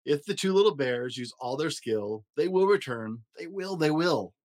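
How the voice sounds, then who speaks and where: A man hosting a story podcast, reading a rhyming children's picture book aloud in an expressive, rhythmic way. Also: fairly high pitch, moderate pace. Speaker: podcast host and story reader.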